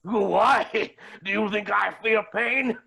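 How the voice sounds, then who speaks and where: Shrill, raspy, manic villain billionaire. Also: male voice, slow, pushed and bright, exaggerated voice. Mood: manic glee. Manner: pompous, melodramatic, swooping exclamations. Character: manic villainous billionaire man, gleefully cruel and theatrical. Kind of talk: theatrical staged reading.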